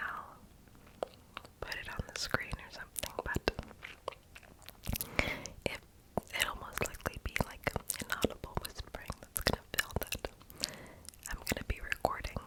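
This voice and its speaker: A young woman whispering a casual, rambling ASMR voiceover in a breathy, unvoiced voice, with soft mouth sounds. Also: a little slow, pauses often, poor audio. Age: young adult. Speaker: ASMR video creator and host.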